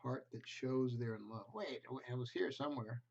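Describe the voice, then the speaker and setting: A man reading a picture book aloud to young children on camera, adding gentle explanatory asides. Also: mid-range voice, normal speed, slight background noise. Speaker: children's storyteller. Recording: slightly muffled home video audio.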